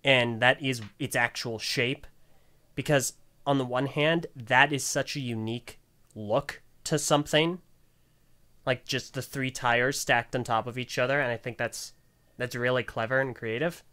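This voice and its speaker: A man's voice: medium pitch, steady tone, measured pace, pushed and bright, clear, resonant tone. A man hosting a casual panel podcast and giving product opinions, often breaking into giggles as he talks. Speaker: podcast host.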